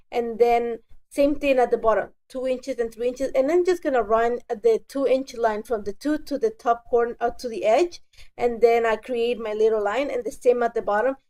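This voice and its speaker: A woman's voice: on the high side, warm tone. Stuffy-voiced woman narrating a craft tutorial. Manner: chatty, self-correcting, apologetic. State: stuffy from a cold. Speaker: scrapbooking crafter. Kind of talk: casual voice-over tutorial.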